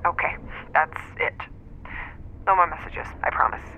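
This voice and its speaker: A woman's voice: on the low side, steady tone, a little slow. Muffled, earnest woman on voicemail. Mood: earnest, wistful. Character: earnest, vulnerable woman leaving a lovelorn voicemail. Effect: voicemail filter. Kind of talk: audio drama acting. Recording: muffled phone voicemail, faint noise.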